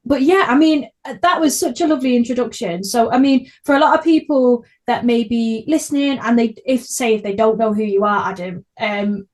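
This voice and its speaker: A woman's voice: fairly high pitch, normal speed, hardly any pauses, a little mellow. A young woman hosting a casual podcast interview in a chatty, supportive way. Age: young adult. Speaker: podcast host, theatre creative.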